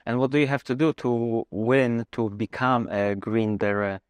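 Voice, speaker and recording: A man hosting a podcast, reading a scripted introduction and asking measured, deliberate questions. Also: medium pitch, fairly even intonation, clear, resonant tone, no room sound. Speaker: podcast host.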